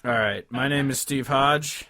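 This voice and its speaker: A man's voice: medium pitch, a little slow, pushed and bright, dry recording, clean studio sound. A man in his twenties chatting casually about music as a podcast co-host, rambling and informal, swearing now and then. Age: 20s.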